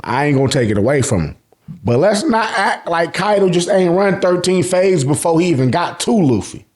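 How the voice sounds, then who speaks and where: A man's voice: fairly high pitch, normal speed. An American man giving a casual, joking recap as a channel host, full of slang and swearing and acting out dialogue. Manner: slangy, profane, joking, animated. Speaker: host of an anime and manga review channel.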